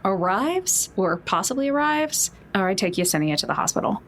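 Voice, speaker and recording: A woman's voice: mid-range voice, sing-song, moderate pace, a bit bright. A woman playing a tabletop role-playing game, talking casually in a wry, informal way.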